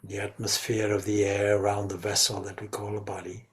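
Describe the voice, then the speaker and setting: A man leading a guided meditation as a spiritual teacher, speaking reverently in short, measured phrases. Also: on the low side, completely flat delivery.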